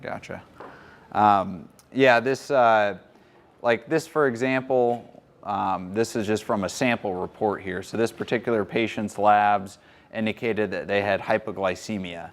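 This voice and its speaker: Man presenter, 30s, low-ish voice, casual walkthrough at a seminar, room mic. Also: measured pace. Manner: relaxed, chatty explaining with fillers. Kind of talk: conference presentation. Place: hotel conference room.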